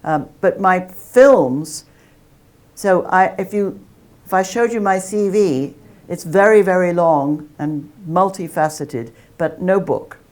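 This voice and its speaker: Low-voiced woman academic, chatty. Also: slow, a little mellow. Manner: chatty, self-deprecating, many restarts. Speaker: academic guest speaker. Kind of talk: lively talk with audience Q&A.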